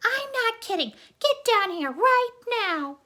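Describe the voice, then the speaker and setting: A woman's voice: very high-pitched, sing-song, slow pace, sharp tone, voice pushed up, exaggerated voice. A woman voicing a strict, exasperated mother in a children's storybook, scolding in an emphatic, nagging way. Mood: shouting, angry. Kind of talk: children's storybook read-aloud.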